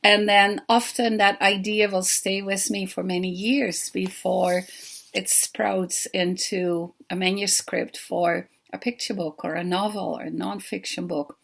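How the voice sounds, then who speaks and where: An older woman with a Dutch accent, a children's book author giving an earnest, unhurried pre-recorded talk. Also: mid-range voice, steady tone, gravelly, fairly bright tone. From Netherlands.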